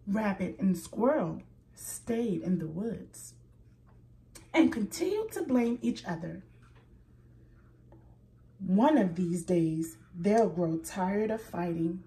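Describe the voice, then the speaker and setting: A woman reading a children's picture book aloud in a slow, expressive storytelling manner. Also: medium pitch, far from the mic, poor audio.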